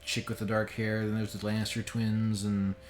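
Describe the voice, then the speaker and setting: A man's voice: on the low side, completely flat delivery, normal speed. A man giving casual, joking and sometimes profane commentary as a game streamer. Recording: roomy echo with faint background noise.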